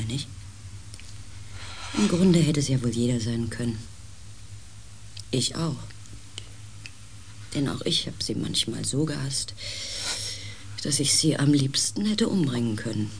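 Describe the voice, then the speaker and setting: An actor playing a compassionate narrator and protagonist in a science fiction radio play, gentle and reflective, over faint background noise. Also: male voice, mid-range voice, gravelly. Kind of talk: radio drama narration and dialogue.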